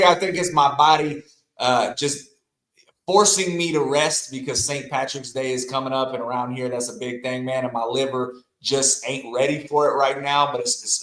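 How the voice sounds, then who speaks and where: Hoarse, profane man in an echoey room. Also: medium pitch, a little fast. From American Midwest. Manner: profane, colorful, excitable. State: hoarse voice with a cough. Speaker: co-host. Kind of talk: casual live-stream chat.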